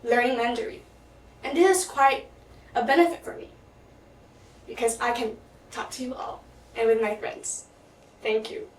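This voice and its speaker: A woman's voice: fairly high pitch, moderate pace, long pauses, slight background noise. A teenage girl from the Philippines gives an earnest prepared speech for a contest, stumbling now and then and restarting words. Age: teens. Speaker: student contestant. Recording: echoey hall, mic at a distance.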